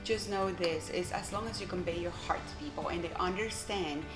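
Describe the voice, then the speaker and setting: Low-voiced pastor woman with Guatemalan accent. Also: fairly quick, roomy sound, music in the background. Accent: Guatemalan Spanish. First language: Spanish. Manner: heartfelt, encouraging, gives advice point by point. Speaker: pastor, interview guest. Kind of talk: earnest interview answers.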